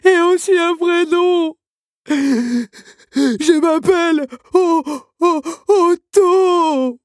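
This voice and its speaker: A woman's voice: mid-range voice, expressive, dark tone, no echo, clean studio sound, voice pushed up. A woman voicing a fragile, tearful male automaton who stammers and sobs as he speaks, in an audiobook. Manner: sobbing, halting, stammering. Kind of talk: audiobook character voice.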